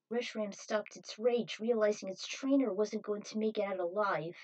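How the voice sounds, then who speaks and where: A woman's voice: a little high, a little mellow, poor audio. A woman reading a scripted adventure story aloud as narrator, in a dramatic manner.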